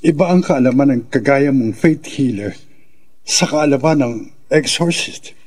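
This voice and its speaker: A man acting a desperate husband of a possessed wife, pleading earnestly with a husky voice in a radio drama. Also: mid-range voice, a little slow, a little mellow, close to an everyday voice.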